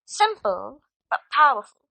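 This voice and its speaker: A woman's voice: on the high side, sing-song, measured pace, vocal fry, bright, forceful tone, no echo, exaggerated voice. A woman playing a clever, bossy schoolgirl witch in an audio drama, assured and instructing. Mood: confident, scheming.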